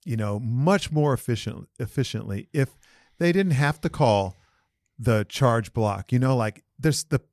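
A man co-hosting a sports podcast, talking in a loose, slangy and opinionated way. His pitch sits in the middle, and the sound is dry and close with no room around it. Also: expressive, a little slow, darkish tone, dry recording. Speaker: podcast co-host. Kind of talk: casual sports chat.

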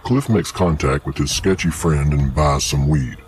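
A man's voice: rumbling low, moderate pace, darkish tone. A man narrating an audio drama in a scripted announcer style. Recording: dull sound, faint noise.

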